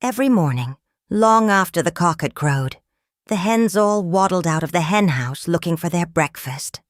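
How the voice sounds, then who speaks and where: A woman reading a children's picture book aloud in a calm, measured, expressive storytelling manner. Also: lowish voice, no room sound, studio quality. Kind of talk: children's read-aloud narration.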